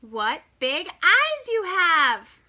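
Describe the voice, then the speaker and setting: A woman's voice: extremely high pitch, wildly swooping pitch, drawn-out words, pushed and bright, voice pushed up, full character voice. A woman voicing a clever, kind, plucky little girl from a storybook in an exaggerated, singsong manner. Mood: amazed.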